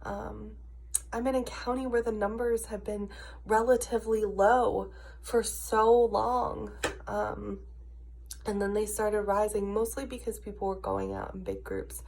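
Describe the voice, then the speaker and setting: A woman's voice: mid-range voice, a little slow, vocal fry, darkish tone, slight background noise. An American woman in her early thirties, sick with a cough and sinus trouble, giving a frustrated, emphatic, casual personal rant. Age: early 30s. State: ill, with a cough and congested sinuses. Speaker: video diarist.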